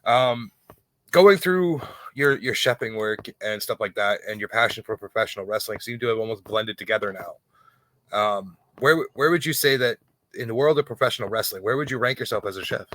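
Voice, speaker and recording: A man's voice: lowish voice, moderate pace, fairly bright tone. A man from Toronto hosting an interview show, asking friendly questions that often stumble over their own words. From Toronto, Canada. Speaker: podcast host. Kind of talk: casual interview.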